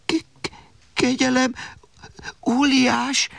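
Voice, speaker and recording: Man putting on a high, stammering, frightened little boy. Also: little pitch movement, vocal fry, crowd noise, exaggerated voice. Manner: stammering, pleading, polite. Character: timid young boy, polite and stammering. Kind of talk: storybook character voice.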